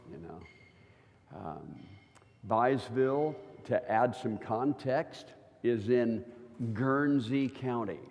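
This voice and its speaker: A man's voice: fairly low pitch, darkish tone. Chatty older man preaching in a hall. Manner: chatty, keeps checking in with listeners. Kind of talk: conversational church teaching. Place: church hall. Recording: long reverb of a church hall.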